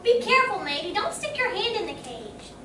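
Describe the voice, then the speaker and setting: Very high, sharp, fretful cartoon sweetheart, echoey stage. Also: female voice, very animated, brisk. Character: fussy, fretful cartoon lady, the sailor's sweetheart. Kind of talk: old radio comedy acting. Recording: echoey stage, far mic, faint noise.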